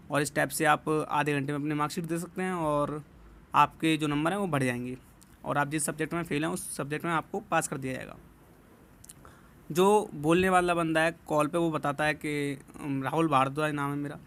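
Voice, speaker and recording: Male presenter, slightly high voice, informal explainer delivery with repeated check-ins. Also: a bit bright. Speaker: presenter warning students about a scam call. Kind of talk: informal explanatory talk to viewers.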